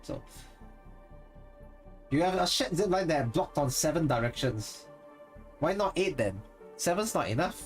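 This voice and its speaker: A man's voice: on the high side, expressive, a little slow, long pauses, soft background music. A man with a Singaporean accent chatting casually with viewers on a live stream, rambling and correcting himself as he goes. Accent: Singaporean English. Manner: rambling, thinks aloud and corrects himself mid-sentence. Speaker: streamer and content creator.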